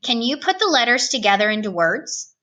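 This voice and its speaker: Pompous male superintendent for a storybook, read by a woman: barking, interrogating, bright and forceful. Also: a little high, very animated, brisk. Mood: flustered, stern. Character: pompous, booming, stern male school superintendent, big man.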